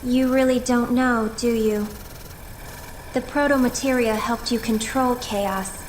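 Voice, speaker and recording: A woman playing a serious, earnest young female operative in a video game, explaining things in a measured way. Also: fairly high pitch, fairly even intonation, slight background noise, natural voice. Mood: grave.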